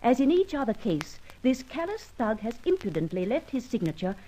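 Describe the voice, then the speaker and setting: An actress playing a thief's sceptical, concerned British confidante in an old-time radio drama, formal and reproving. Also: female voice, a little high, very animated, normal speed, mellow, slight background noise, low-quality recording. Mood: grave reading aloud.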